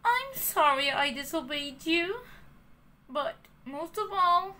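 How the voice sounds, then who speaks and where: A woman doing a high, naughty puppet boy. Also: animated, takes it slowly, full character voice. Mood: pleading. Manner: high, bright, pleading.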